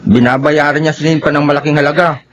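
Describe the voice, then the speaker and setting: Man acting as deferential, grimly confessing Filipino employee, higher-pitched. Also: steady tone, fast, natural voice. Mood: grave, confiding. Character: deferential male employee grimly confessing his boss's crimes. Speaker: radio drama actor. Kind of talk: Filipino radio horror drama acting.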